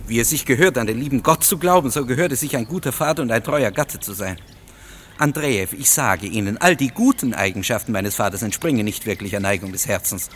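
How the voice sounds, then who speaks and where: A man plays a rebellious young student in a radio drama, over a faint bed of noise. His mid-pitched voice is bitter and scornful, pressing each phrase with theatrical emphasis. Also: fairly bright tone, close to an everyday voice. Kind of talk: German radio play acting.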